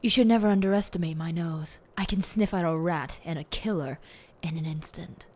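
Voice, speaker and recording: A woman playing a smug, theatrical, teasingly bossy lead detective in an audio roleplay, with pompous and deliberate phrasing. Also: fairly low pitch, a little mellow, natural voice. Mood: boastful.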